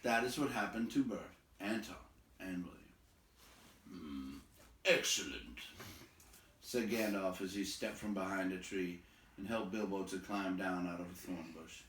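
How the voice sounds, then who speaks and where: A man reading a fantasy story aloud as an expressive storyteller. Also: low voice, moderate pace, roomy sound, slight background noise, rough recording.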